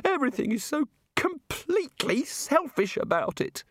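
A man doing a high, fretful, absent-minded god. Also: big pitch swings, moderate pace, a bit bright, dry recording, clean studio sound, full character voice. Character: anxious absent-minded male god, fussy.

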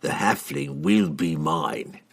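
A man voicing a cold, ruthless male assassin in a storybook reading, speaking slowly and evenly. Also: deep voice, slightly rough, heavily acted. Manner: slow, even, menacing.

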